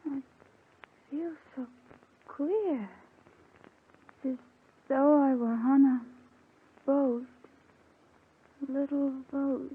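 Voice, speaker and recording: Soft, gushing young wife, tinny recording. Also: female voice, a little high, expressive, measured pace, husky. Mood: drowsy. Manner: gushing, tender. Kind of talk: old-time radio melodrama acting. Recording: tinny, narrow-band old radio recording.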